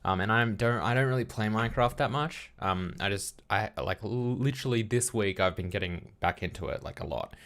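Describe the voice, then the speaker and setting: A man's voice: on the low side, fairly quick, few pauses. A young man streaming live, thinking aloud while coding and chatting casually with viewers, often trailing off into mumbles. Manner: relaxed, self-deprecating and talkative with chat. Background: keyboard typing and clicks.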